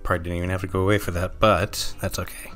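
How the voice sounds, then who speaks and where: A man with a fairly low voice talks through a game session at an easy pace, musing aloud and correcting himself as he goes. His throat is slightly sore, and soft game music plays faintly beneath him. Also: rapid-fire. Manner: relaxed, thinking aloud with frequent ums and self-corrections. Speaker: gameplay commentator. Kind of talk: casual let's-play commentary.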